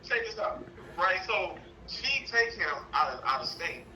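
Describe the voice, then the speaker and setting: A man's voice: high-pitched, big pitch swings, moderate pace, bright, forceful tone, a little hiss or hum, rough recording. A man with an American accent calling in, telling his personal story in a casual, rambling way. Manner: rambling, frequent "you know". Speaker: caller, father seeking custody.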